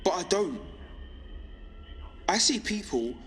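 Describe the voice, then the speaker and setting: An actor playing a defiant young pirate radio broadcaster in an audio drama, delivering a measured, impassioned monologue. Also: male voice, high-pitched, big pitch swings, fairly bright tone, big-room sound, exaggerated voice. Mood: impassioned, angry.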